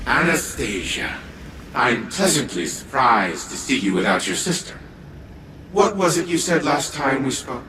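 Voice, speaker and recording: An actor playing an arrogant old scientist turned artificial mind in a video game, formal and condescending. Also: male voice, medium pitch, very animated, measured pace, over a noisy crowd, poor audio. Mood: haughty, sarcastic. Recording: loud music under the voice.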